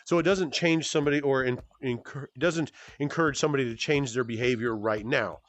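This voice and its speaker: A good-humored man in his forties chats through a fan Q&A while playing a VR game. He talks at an ordinary pitch and rambles, trailing into fillers and correcting himself mid-sentence. His voice comes through a headset mic. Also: a little fast, no room sound. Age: 40s. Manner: rambling and self-correcting, with many fillers and restarts. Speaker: gaming YouTuber answering fan questions.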